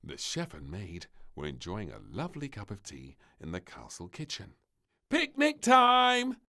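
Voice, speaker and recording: A man reading a scripted children's story as narrator, with an expressive, dramatic delivery. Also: sits low, moderate pace. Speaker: storybook reader.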